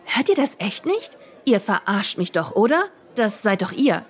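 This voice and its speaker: A woman playing an excitable young gamer. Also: mid-range voice, normal speed, close to an everyday voice. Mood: suspicious. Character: young woman gamer, wary and easily spooked. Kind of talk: amateur comedy audio drama.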